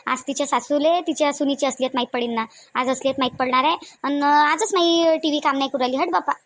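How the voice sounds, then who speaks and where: A woman voicing chatty, bustling village women in a cartoon comedy, with a Varhadi Marathi accent and colloquial, animated chatter. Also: high voice, fast talker, dry recording. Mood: fretful. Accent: Varhadi Marathi from Vidarbha.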